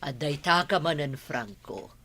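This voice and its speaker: Shop-owner mother, TV drama; Ilocano accent, unhurried, lively intonation. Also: low-pitched, full character voice. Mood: exasperated, firm. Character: firm, exasperated mother who owns a furniture shop. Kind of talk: Ilocano television drama acting.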